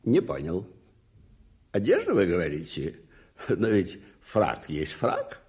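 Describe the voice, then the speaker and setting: A pompous duke in a radio play, rather low in voice and very slow. His pitch swings wildly as he muses aloud, dim and thoroughly pleased with himself. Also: wildly swooping pitch, darkish tone, exaggerated voice. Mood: puzzled, amused. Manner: pompous, halting, musing aloud. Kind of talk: Soviet radio play acting.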